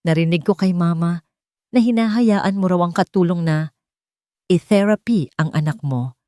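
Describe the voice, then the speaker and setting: Narrator lady as a disapproving bossy filipina big sister in an audiobook, pointed, lowish voice. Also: moderate pace, dark tone, no room sound, studio quality, close to an everyday voice. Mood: stern, scolding. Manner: stern, pointed. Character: practical, disapproving, opinionated older sister and aunt.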